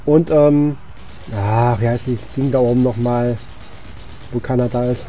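A man's voice: mid-range voice, fairly even intonation, unhurried, dark tone. A man gives casual, rambling video game commentary, thinking aloud as he plays. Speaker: gaming channel host. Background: game music and sound effects.

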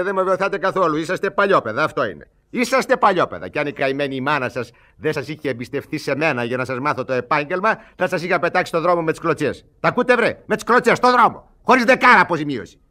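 A man's voice: fairly high pitch, animated, bright, forceful tone. Older thief boss, male, pompous, exasperated, blustering, stammered repeats, radio theatre comedy. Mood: scolding, exasperated.

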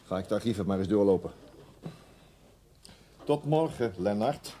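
Weary senior male detective for radio drama man acting, authoritative, soft dark timbre. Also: on the low side, lively intonation, normal speed, a little hiss or hum, lightly acted. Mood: firm, commanding.

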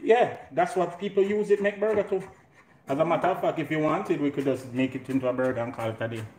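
A man's voice: on the high side, fast, a little mellow. A middle-aged man with a Jamaican accent, casually and playfully hosting a live home cooking demonstration. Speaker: home cook and show host. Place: indoor home kitchen. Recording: roomy kitchen, clear noise, pot clatter.

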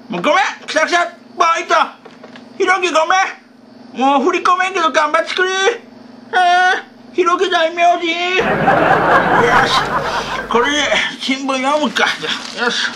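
A man's voice: extremely high pitch, sharp tone, a little hiss or hum, heavily acted. A man doing a reedy, forgetful old grandpa. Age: older. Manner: mumbling, talks to himself, chuckles at his own jokes. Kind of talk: solo comedy sketch.

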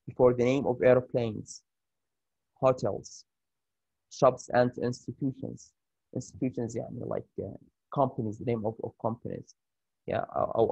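A man with an Arabic accent teaching an English grammar lesson over a shared screen, pausing often with fillers and restarts. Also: mid-range voice, gravelly, warm tone, breathy edge, no room sound, dull audio. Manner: halting, many fillers and restarts.

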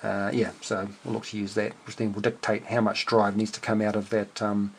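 A man's voice: on the low side, flat delivery, brisk, gravelly, a little hiss or hum. A middle-aged man explaining a hobby project casually at his desk, unscripted, hesitant and understated as he thinks aloud. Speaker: amateur radio hobbyist and video host. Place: small indoor room at a cluttered desk workbench.

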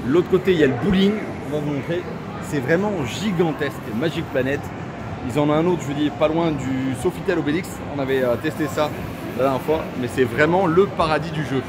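A man's voice: a little high, brisk, very noisy. A man vlogging casually and enthusiastically, using informal slang. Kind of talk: casual chat to camera. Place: large indoor arcade. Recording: loud arcade music and machine din behind.

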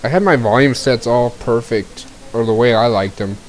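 A man casually talking through his gameplay as a streamer, a little scratchy from a cold, rambling along with fillers and the odd throat clear into a cheap desk mic. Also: mid-range voice, moderate pace. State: getting over a cold, a bit scratchy. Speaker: game streamer.

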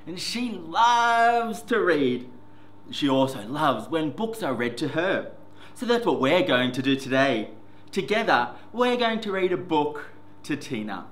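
A man hosts a children's story time with a bright, clear-cut delivery and very lively, sing-song pitch. He takes his time over the simple words for small listeners. The room adds an echo, with faint noise behind him. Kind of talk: picture book read-aloud for young children. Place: indoor classroom reading corner.

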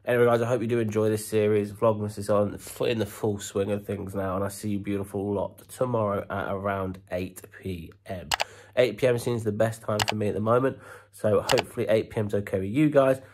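A man's voice: lowish voice, level tone, brisk, background noise. A British man in his thirties with a congested head cold, chatting casually to a handheld camera at home about reselling. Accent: British English. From United Kingdom. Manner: chatty, upbeat patter with slang. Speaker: eBay reseller vlogger. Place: home storage room and parked car, indoors. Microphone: handheld camera at arm's length.

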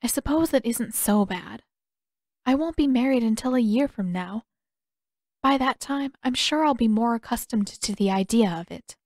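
A woman voicing a polite, dutiful young noblewoman with formal, measured phrasing in an audiobook story. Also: fairly high pitch, lively intonation, darkish tone, dry recording, studio quality. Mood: resigned.

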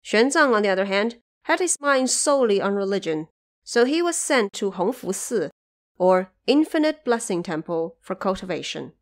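A woman reading scripted storybook narration of a classic tale in a measured, expressive storyteller's manner. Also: medium pitch.

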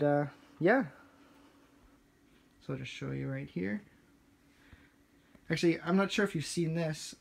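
A man's voice: on the high side, gravelly. A young man giving a casual, understated home tour as a gaming vlogger, recorded indoors on a handheld camera. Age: young adult. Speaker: gaming YouTuber. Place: small furnished basement rooms indoors. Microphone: handheld camera's built-in mic.

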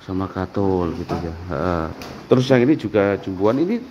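A man's voice: sits low, lively intonation, moderate pace, dark tone, muffled recording. Vlogger, man, casual tour host who repeats every answer, faint background hiss. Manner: chatty, asks short questions and repeats answers back. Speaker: vlogger touring a cattle farm. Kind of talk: casual walk-through vlog with interview. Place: outdoor open-sided cattle barn.

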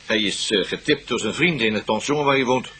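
A man's voice: fairly low pitch, brisk, bright, forceful tone. A man playing a blunt, wry police colleague who talks colloquially in a radio play.

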